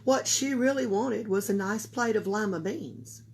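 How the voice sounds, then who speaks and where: A woman reading a children's picture book aloud for a library, slow and expressive. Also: medium pitch, a little hiss or hum. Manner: slow, expressive, breathy storytelling. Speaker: library storyteller.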